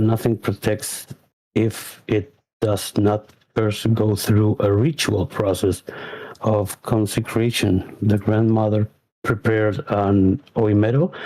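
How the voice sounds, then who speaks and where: Slow, hesitant Chilean-born man. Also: fairly low pitch, warm tone, low-quality recording. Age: 40s. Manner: halting, hesitant phrasing. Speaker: caller. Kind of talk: call-in storytelling, partly read aloud.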